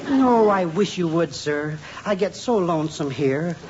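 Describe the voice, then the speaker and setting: A man's voice: on the high side, animated, unhurried, darkish tone. A man playing a timid, lonely store clerk who talks meekly and deferentially in a 1950s radio sitcom. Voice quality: meek, deferential. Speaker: umbrella clerk. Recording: muffled old radio recording, background hiss.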